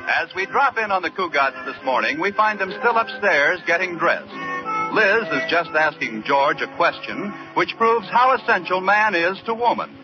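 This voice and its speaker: A man's voice: high-pitched, lively intonation, normal speed. A man working as a radio announcer, reading scripted introductions and narration in a 1940s style. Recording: muffled old radio recording. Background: loud music.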